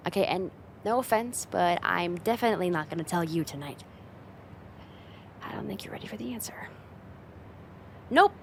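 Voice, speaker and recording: A woman's voice: fairly low pitch, animated, normal speed, creaky, long pauses, background noise. A woman playing a friend's teasing older sister in a casual, conversational ASMR roleplay spoken straight to the listener. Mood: flustered, bossy.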